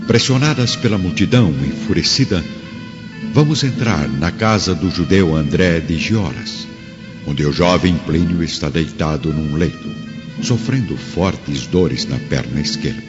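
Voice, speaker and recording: Deep male narrator with long reverb. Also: expressive, a little slow. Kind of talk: scripted dramatic narration of a radio play. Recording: reverberant, muffled, music behind.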